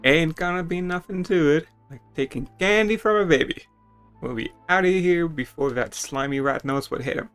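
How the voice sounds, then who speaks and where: A man's voice: a little high, moderate pace, a bit bright, faint music behind, pitched above the performer's own voice, lightly acted. Man playing a brash, cocky young female rogue. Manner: rough, colloquial, taunting. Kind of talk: dramatic video game dialogue reading.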